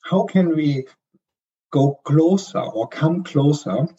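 German man, hesitant consultant guest, husky and mellow, slightly dull audio. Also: a little high, slow pace. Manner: hesitant, many ums and restarts. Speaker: supply chain consultant guest. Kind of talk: podcast interview answers.

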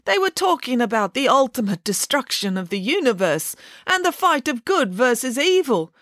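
A woman's voice: sits high, very animated, moderate pace, fairly bright tone, no echo, clean studio sound, pitched above the performer's own voice. A woman doing a weepy, melodramatic young bear. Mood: dramatic. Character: emotional, theatrical young male bear actor.

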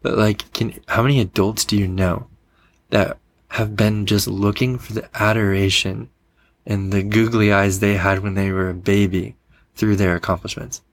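A woman's voice: extremely low pitch, little pitch movement, moderate pace. A woman hosting a podcast in casual conversation, agreeable and affirming, often putting in short interjections. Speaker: podcast host.

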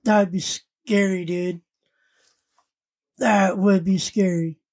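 A woman co-hosts a casual podcast recap. She speaks at a slow pace with a medium pitch. Her delivery is halting, as she often searches for the next word. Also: fairly even intonation, a little mellow. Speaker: podcast co-host. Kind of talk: casual chat recapping an anime parody.

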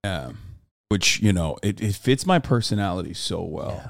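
A man's voice: deep voice, lively intonation, normal speed, creaky. A man from Turkey co-hosting a podcast, joking and swearing loosely as he rambles in casual chat. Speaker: podcast host.

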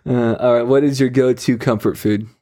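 A man's voice: mid-range voice, steady tone, normal speed, dark tone. A man hosting a casual phone interview with a teasing, bantering way of talking. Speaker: host of a call-in segment.

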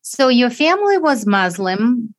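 A woman interviewing a guest, asking gentle and encouraging questions. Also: fairly high pitch, sing-song, measured pace.

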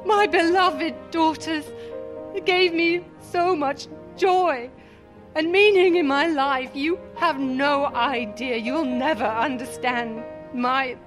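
High-voiced, defensive woman doctor played by an actress. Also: slow. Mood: anguished. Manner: defensive, argumentative. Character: grieving skeptical female doctor, former army chaplain, defensive. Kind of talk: radio drama acting. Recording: music behind.

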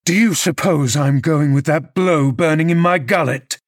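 A man performs a vengeful, violent antagonist from a classic novel. He speaks at a middling male pitch, with sneering contempt and menace. The recording is close and dry. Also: moderate pace, slightly rough, no room sound, natural voice. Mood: furious.

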